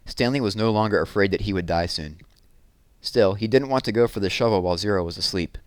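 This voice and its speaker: A man's voice: fairly low pitch, fast, no echo. A man reading a novel aloud in a scripted storytelling narration. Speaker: read-aloud reader.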